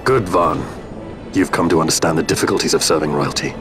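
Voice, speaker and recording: A man's voice: rumbling low, fairly quick. A man playing a grave, guarded knight in a video game, speaking formally and tersely. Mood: grave, wary. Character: grave, guarded male knight serving royalty. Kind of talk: video game cutscene dialogue. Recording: music behind the voice.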